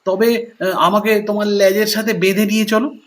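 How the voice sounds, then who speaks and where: A man's voice: high-pitched, little pitch movement, normal speed, close to an everyday voice. A man voicing a timid, anxious fox, nephew to a tiger, in a fearful, pleading manner.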